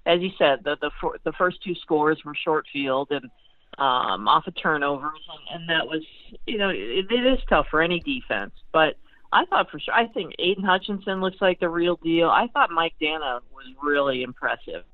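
A woman talking sports as a guest analyst over the phone, conversational and halting, often repeating her words. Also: low-pitched, lively intonation, no echo. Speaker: newspaper beat writer, guest analyst. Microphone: phone call. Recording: tinny narrow-band phone line.

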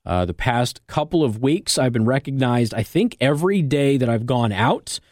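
A man's voice: medium pitch, lively intonation, moderate pace, darkish tone, no echo, studio quality. An American man hosting talk radio, giving opinionated, emphatic commentary in a conversational, argumentative way, often checking in with the listener. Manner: emphatic, argumentative, rhetorical check-ins like "okay?". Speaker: radio talk show host. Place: radio studio.

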